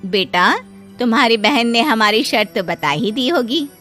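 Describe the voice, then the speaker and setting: A woman's voice: on the high side, lively intonation, a little slow, sharp tone, soft background music. Woman as a condescending, greedy in-law. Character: greedy prospective in-law parent demanding dowry. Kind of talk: cartoon story character voice.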